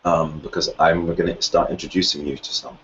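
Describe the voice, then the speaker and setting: A man's voice: a bass voice, fairly even intonation, brisk, lo-fi sound, a little hiss or hum. A man hosting a video call, patiently walking someone through the mute button. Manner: patient, instructive. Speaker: meeting host. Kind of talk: casual video call chat.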